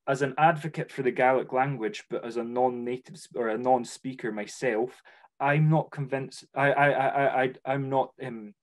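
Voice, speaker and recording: A man of ordinary pitch answers questions as an academic panelist over a video call. His delivery is modest and halting, with repeated words and fillers between his points. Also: steady tone.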